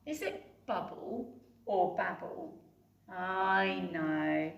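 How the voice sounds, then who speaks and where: A woman voicing an excitable little girl witch who cries out in a high, squealing voice. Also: very slow, vocal fry, highs cut off, heavily acted. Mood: pleased. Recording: roomy, distant mic.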